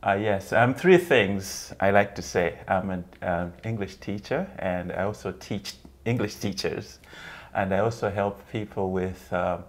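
A man's voice: deep voice, unhurried. A middle-aged man from Zambia, a guest on an interview show, talking casually with many ums and frequent laughs, seated in a small room. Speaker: guest, English teacher. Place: small room with bookshelf, indoors.

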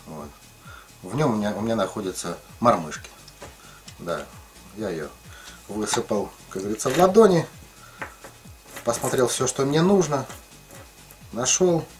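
A middle-aged man casually explaining his ice fishing tackle, rambling with many fillers and restarts. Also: mid-range voice. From lived in Ukraine, now in the Russian far north.

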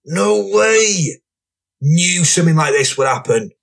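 A young lad put on by a male narrator, pitched up and exasperated. He is whiny and overdramatic, in audiobook drama style. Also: expressive, a little slow, creaky, full character voice.

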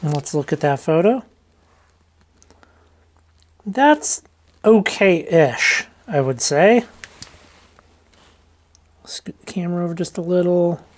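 A woman's voice: lowish voice, animated, slow, long pauses. A woman vlogger giving a casual hands-on demonstration, informally thinking aloud as she goes. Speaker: rockhounding vlogger.

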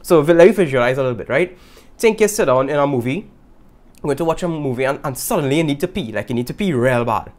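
A young man with a Trinidadian accent, hosting a casual video and talking to camera in an animated way from a small room. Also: medium pitch, fast talker. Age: young adult. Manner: animated, gesturing, laughing asides. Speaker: YouTube host. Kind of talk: casual vlog talk to camera. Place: small indoor room with guitars.

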